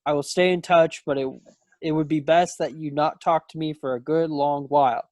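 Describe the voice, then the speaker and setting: A man's voice: fairly high pitch, fairly even intonation, moderate pace, a little mellow, no echo, natural voice. A man playing an earnest, stubborn young soldier in love, in an amateur play read over a video call. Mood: indignant.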